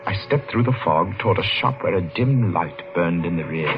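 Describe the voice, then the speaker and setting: A man's voice: low voice, animated, normal speed. An actor playing a haunted man recounting his boyhood in dread, in the ornate, suspenseful style of old-time radio horror. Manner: dramatic, ornate, suspenseful delivery. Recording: muffled vintage radio, music underneath. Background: dramatic music.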